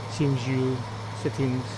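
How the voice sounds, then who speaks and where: A man's voice: medium pitch, heavy background noise. A man with a West Country English accent chatting casually while streaming a game, rambling and laughing often. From England. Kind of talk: casual live-stream chat.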